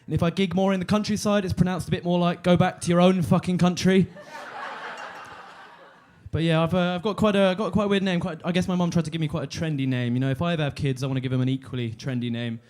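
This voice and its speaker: A man in his twenties doing a deadpan stand-up set on a comedy club stage, halting with fillers and restarts. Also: sits high. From London. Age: 20s.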